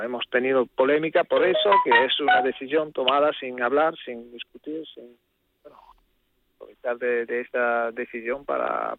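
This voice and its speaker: A man's voice: mid-range voice, gravelly, dry recording. An Italian man speaking Spanish as a second language, hesitant and searching for words as a guest on a radio call. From Italy. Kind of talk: phone interview. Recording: tinny phone line.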